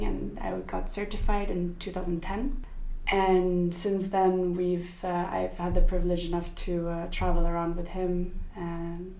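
Swedish-raised young woman, lower voice, roomy sound. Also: darkish tone. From Sweden. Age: young adult. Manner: thoughtful, many fillers and restarts. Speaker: guest. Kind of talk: casual interview.

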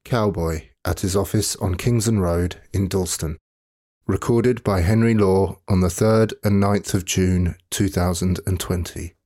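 Deep, mellow male podcast announcer. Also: fairly even intonation, measured pace. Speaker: announcer reading episode credits. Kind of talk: scripted narration.

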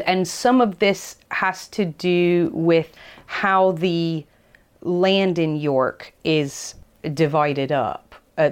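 Low-voiced woman explaining as an expert. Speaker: academic expert guest, archaeologist. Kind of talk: conversational explanatory interview.

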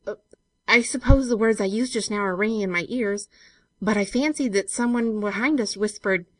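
A woman reading a story aloud, voicing an affectionate, nervy young woman in love with a light, expressive delivery. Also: mid-range voice, dry recording, pitched above the performer's own voice, natural voice, rough recording. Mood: startled, reassuring. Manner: light, expressive, quick to startle.

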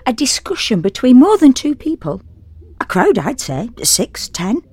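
A woman playing an exhausted, fretful woman who narrates a confessional audio drama monologue in an intimate, wry, deliberate way. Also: fairly high pitch, sing-song, a little mellow, husky, no echo, exaggerated voice. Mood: exasperated, incredulous. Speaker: narrator and actor.

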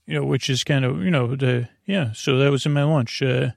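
A man hosting a bedtime podcast, rambling and halting as he loses his thread, with gentle, silly deadpan humor. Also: medium pitch, gravelly, dry recording, studio quality. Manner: meandering, halting, often losing his thread. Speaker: podcast host.

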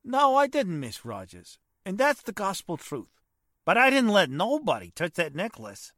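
A man's voice: sits high, huge pitch swings, moderate pace, fairly bright tone, no echo, clean studio sound, heavily acted. A man playing a defensive working-class private eye in 1940s radio style, folksy and ungrammatical. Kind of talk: 1940s radio drama acting.

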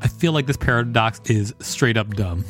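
A man's voice: mid-range voice, moderate pace, soft background music. A man hosting a podcast, reading an encyclopedia article aloud with joking, informal commentary. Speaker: podcast host.

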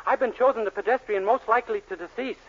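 A man's voice: sits high, fast talker, very noisy, natural voice. An actor playing a naive, cheerful young man in a 1940s radio sitcom, earnest and dim-witted. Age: 20s. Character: naive cheerful young male soda jerk. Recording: dull 1940s radio broadcast recording.

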